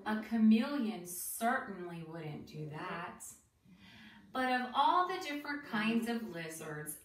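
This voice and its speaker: A woman reading a children's book aloud like a teacher, expressive and deliberate, pausing for asides and questions to young listeners. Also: medium pitch. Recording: roomy, distant mic with faint noise.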